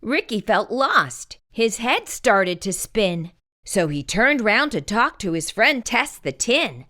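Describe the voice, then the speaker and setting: A woman hosting storytime, narrating a children's picture book in an animated read-aloud style. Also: medium pitch, slow pace. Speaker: storytime host and reader.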